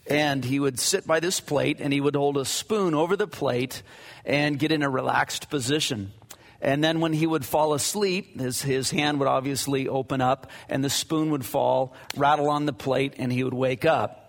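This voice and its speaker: A man leading a church service, moving from prayer into Bible teaching. He talks in a casual, halting way, full of "uh"s and restarts. His boomy mic rings out with a long reverb, as if in a can. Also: steady tone, gravelly. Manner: halting, many fillers and restarts; conversational, anecdotal. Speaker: pastor.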